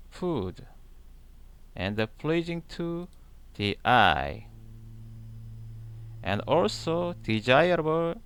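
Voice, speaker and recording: A man reading scripture aloud in a deliberate manner, pausing between short, halting phrases. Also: a little high, clean tone. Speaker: channel host reading the Bible aloud. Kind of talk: slow scripture read-aloud.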